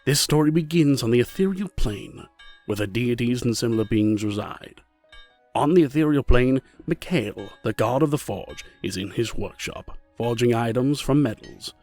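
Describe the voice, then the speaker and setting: A man narrating a scripted fantasy story in a measured, formal manner. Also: mid-range voice, animated, a little mellow, soft background music, close to an everyday voice.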